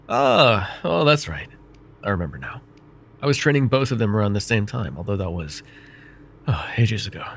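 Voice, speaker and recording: A man playing a gravelly, vain mage counselor. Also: fairly low pitch, slight background noise. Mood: calm reassuring. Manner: calm, measured, gently teasing. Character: vain, wry male mage counselor, middle-aged. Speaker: voice actor. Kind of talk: ASMR roleplay.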